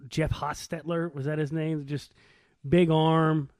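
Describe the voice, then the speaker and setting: A man's voice: a little high, level tone, a little slow, a little mellow, smooth, dry recording, clean studio sound. An American man chatting casually as a sports talk co-host, informal and joshing in his manner. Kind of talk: casual chat, sports banter.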